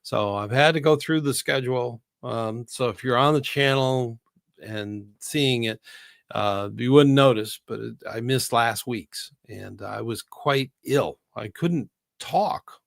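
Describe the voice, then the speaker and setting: A man's voice: mid-range voice, slow, vocal fry, dry recording. Middle-aged guy hosting a live chat, just getting over a cold, rambly, laughs a lot, dry sound. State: recovering from a cold. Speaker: host.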